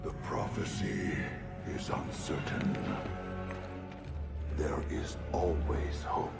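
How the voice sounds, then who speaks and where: An actor playing an ancient alien warrior mystic in a video game, solemn and burdened, speaking in a grave, formal, portentous way. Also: male voice, rumbling low, expressive, slow, creaky, loud music behind the voice, heavily acted. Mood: solemn, foreboding. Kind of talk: dramatic video game voice acting.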